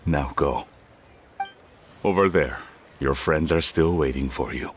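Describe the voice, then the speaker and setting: Very deep-voiced middle-aged father. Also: measured pace, slight background noise. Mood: fond, gentle. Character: middle-aged father, gruff but softening, proud of son. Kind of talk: video game voice acting.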